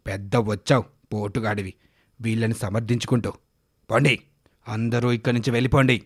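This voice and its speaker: A man's voice: fairly low pitch, little pitch movement, fairly quick, pitched below the performer's own voice, close to an everyday voice. A menacing village thug, acted out by a man for a children's cartoon tale. He is greedy and scornful, and he orders people about like a bully. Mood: threatening. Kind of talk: children's animated moral story.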